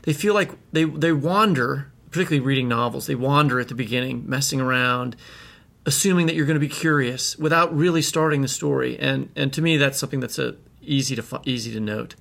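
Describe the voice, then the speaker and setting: A man co-hosting a literary podcast and talking with a guest in an animated, emphatic way. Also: mid-range voice, brisk, creaky. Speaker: podcast co-host. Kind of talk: interview conversation.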